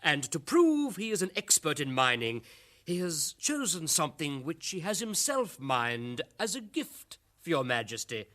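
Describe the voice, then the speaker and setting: An actor playing a fawning government minister in a satirical radio drama, formal and obsequious in manner. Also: male voice, fairly high pitch, sing-song, normal speed, sharp tone. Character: fawning, smooth-talking government minister courting his queen.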